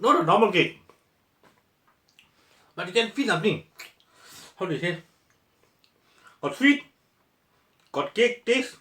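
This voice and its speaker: A young man, playful and loud, talking straight to his viewers. His voice sits high and swoops all over. It bounces around an echoey room. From Malaysia. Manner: playful and exclamatory, laughs often, talks to viewers. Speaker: food vlogger. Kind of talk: casual taste-test vlog.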